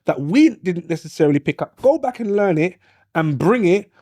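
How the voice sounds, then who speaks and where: A man speaking in a casual panel discussion, animated, acting out quoted voices and often asking "do you know what I mean". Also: high-pitched, normal speed, no echo, clean studio sound. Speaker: podcast host.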